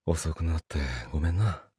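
A man acting in a Japanese voice drama as an evasive, apologetic boyfriend with a Kansai accent and a husky voice, stammering and hesitant. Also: rumbling low, warm tone, dry recording, clean studio sound, heavily acted. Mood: sheepish, tender. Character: evasive, apologetic boyfriend, busy office worker with a secret.

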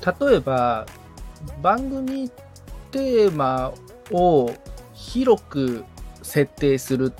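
A man's voice: a little high, sing-song, takes it slowly, darkish tone, soft background music. A man in a casual consultation as the podcast assistant, listening attentively, agreeing often and thinking aloud as he asks questions.

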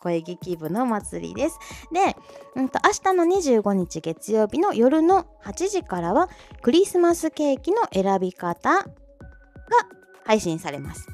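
A woman's voice: a little high, very animated, measured pace. A woman hosting a casual livestream, chatting and making announcements in a bubbly, giggly manner while reacting to listeners. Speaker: host of a short audio livestream, amateur voice-drama club member. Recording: soft music bed under voice. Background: soft background music.

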